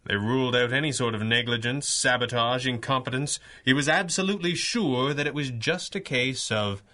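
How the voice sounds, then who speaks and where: A man performs a dashing masked crimefighter from a 1930s radio serial. His voice is bright and forceful at a middling pitch. He speaks formally and dramatically, with stern resolve. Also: normal speed, natural voice. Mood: businesslike. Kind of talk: 1930s radio adventure acting.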